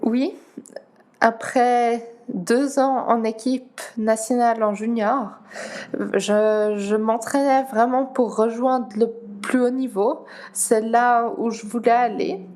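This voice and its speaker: Slow, halting young woman with high voice. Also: fairly even intonation, a little mellow. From Geneva, Switzerland. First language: Dutch. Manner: slow, halting, many pauses and repeated words. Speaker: guest, para-athlete. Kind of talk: interview answers.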